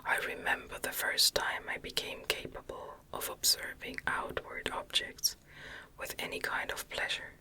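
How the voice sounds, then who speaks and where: A woman reads a gothic novel in a soft, breathy ASMR whisper, with an unhurried, calming delivery. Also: rough recording. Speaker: audiobook reader.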